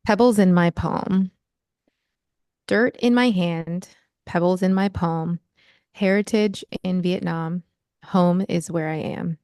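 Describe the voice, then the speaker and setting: A woman's voice: on the low side, warm tone, clear, resonant tone, no echo, clean studio sound. A young American woman, an author, reading her poem aloud and then reflecting on it thoughtfully in an interview over a video call. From United States. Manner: measured, thoughtful, rhythmic reading.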